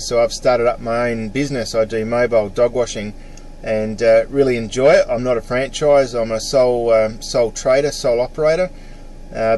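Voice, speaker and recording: A man's voice: medium pitch, darkish tone, a little hiss or hum. A middle-aged Australian man in a casual ham radio chat, matey in his phrasing and practised with phonetic call signs. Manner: mate-peppered, spells call signs phonetically. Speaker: amateur radio operator.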